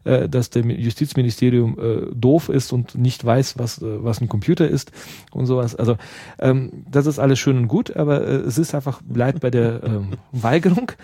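Man from Russia, second-language speaker, earnest explainer, mellow voice, dry close sound. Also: medium pitch, moderate pace, husky, no echo. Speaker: interview guest, party activist. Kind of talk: explanatory conversational interview.

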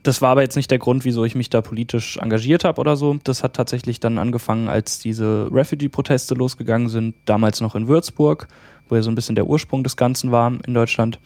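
A man's voice: mid-range voice, fairly even intonation, quick pace, darkish tone, no room sound. A man from Germany, a political candidate, talking on a podcast with frequent hesitations. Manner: hesitant, many fillers. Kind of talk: casual podcast conversation.

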